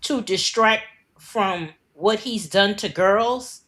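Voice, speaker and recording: A woman's voice: medium pitch, takes it slowly, fairly bright tone. A woman from the United States co-hosting a news podcast, ranting bluntly and with plenty of profanity. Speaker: podcast co-host. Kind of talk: heated casual news commentary.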